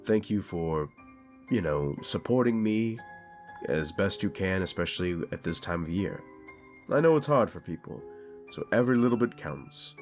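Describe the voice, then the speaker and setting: Male channel host, deep voice, a little stuffed up, informal and earnest listener address over music. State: slight sinus congestion. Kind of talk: casual address to listeners, thanking supporters.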